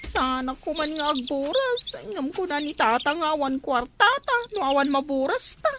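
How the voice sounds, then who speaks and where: A woman's voice: sits high, big pitch swings, moderate pace, a bit bright, a little hiss or hum. A young woman with an Ilocano accent playing a whiny, reluctant student daughter in an amateur family drama, plaintive and complaining.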